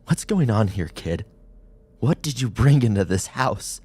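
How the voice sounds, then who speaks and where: Guy doing a lady cop in a horror story, higher voice, urgent n strained, bossy. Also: big pitch swings, measured pace, a little mellow, breathy edge, exaggerated voice. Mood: steady, strained. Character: urgent, alarmed policewoman partner. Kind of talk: audiobook character voice.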